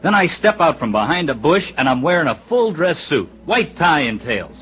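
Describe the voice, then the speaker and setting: An American actor playing a brash, resentful comedy sidekick in an old-time radio drama. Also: male voice, a little high, sing-song, moderate pace, a bit bright. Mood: animated. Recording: muffled old radio broadcast recording.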